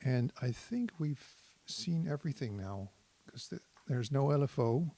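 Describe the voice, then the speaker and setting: A man giving a casual hands-on tutorial as a synthesizer demonstrator, thinking aloud in an unhurried, explanatory way. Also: mid-range voice, expressive, mellow.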